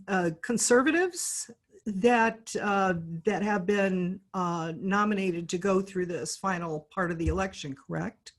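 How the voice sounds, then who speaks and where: A woman hosts an informal political interview. Her voice sits at an average pitch for a woman. She speaks effusively and supportively, in the role of an interviewer on the guest's side. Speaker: interviewer and host.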